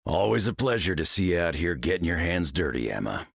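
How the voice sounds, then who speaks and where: Gruff mutant hero for a game: very low, gritty, wry, no-nonsense. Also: male voice, very animated, a little fast, heavily acted. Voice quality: gravelly. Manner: gruff, blunt, dry. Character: gruff, rugged mutant brawler, wry and blunt.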